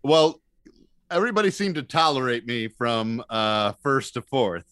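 A man's voice: mid-range voice, expressive, unhurried, fairly bright tone, no echo. A man with an American accent telling self-deprecating stories in a casual, comic way as a podcast guest.

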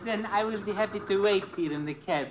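A man's voice: high voice, fairly quick, slight background noise, close to an everyday voice. A man playing a timid, formal radio sidekick. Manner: formal, hesitant speech with "uh". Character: timid male sidekick, cautious and wry. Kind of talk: 1950s radio drama acting. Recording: dull lo-fi old radio recording.

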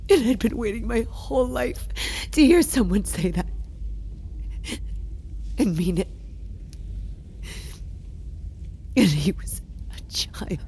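An actress playing a guilt-ridden young woman confessing a painful secret in an audio drama, halting and repeating herself, often dropping toward a whisper. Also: a little high, animated, warm tone, slight background noise. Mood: ashamed. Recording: music under the voice.

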